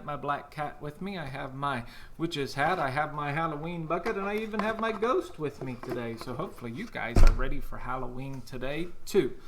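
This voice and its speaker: A man's voice: a little high, fairly bright tone. A man teaching a cheerful children's music lesson on video, encouraging the kids watching at home.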